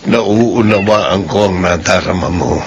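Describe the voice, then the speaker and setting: A man's voice: low voice, unhurried, slight background noise. An actor playing Kuya Conrad, an older brother comforting his sister, in a radio drama. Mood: soothing. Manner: soothing, gentle. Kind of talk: classic radio drama acting.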